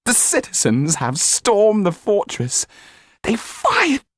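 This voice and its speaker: A man voicing an excitable male valet in an audiobook, speaking urgently to his master. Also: high voice, slow, dry recording, studio quality, voice pushed up, exaggerated voice. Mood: excited.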